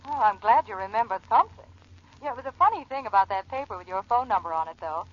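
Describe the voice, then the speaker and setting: A muffled 1940s radio recording of an actress playing a young American woman. She is chatty and trusting, with a touch of impatience, in a medium-pitched female voice. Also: animated, fast, lightly acted. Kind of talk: 1940s radio detective acting.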